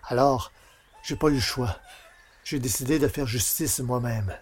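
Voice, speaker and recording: An actor playing a bitter, vengeful village carpenter, speaking in a brooding, menacing monologue for a French audio drama. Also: male voice, mid-range voice, unhurried, a little hiss or hum, natural voice. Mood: bitter, resolved.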